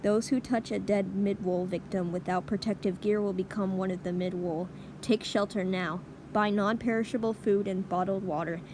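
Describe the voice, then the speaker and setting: A grave emergency broadcast announcer, acted by a woman, delivers scripted warnings in a formal, deliberate manner. Her medium-pitched voice sits in a clearly noisy recording, as in a radio drama alert. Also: fairly even intonation, close to an everyday voice. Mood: grim, subdued.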